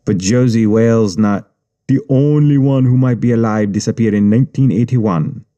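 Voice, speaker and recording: A man voicing a menacing Jamaican gang boss who speaks in calm, mocking patois, read for an audiobook. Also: medium pitch, moderate pace, natural voice. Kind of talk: audiobook character reading.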